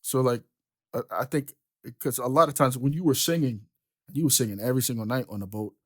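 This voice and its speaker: A man's voice: medium pitch, a little fast. Easygoing man host who laughs often. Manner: easygoing, laughs often, asks short questions. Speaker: podcast host and keyboard player. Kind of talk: casual interview chat.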